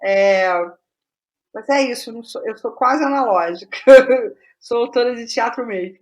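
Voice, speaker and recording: A Brazilian woman speaking as a guest playwright in a casual interview, digressive and halting, often restarting her words. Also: fairly high pitch.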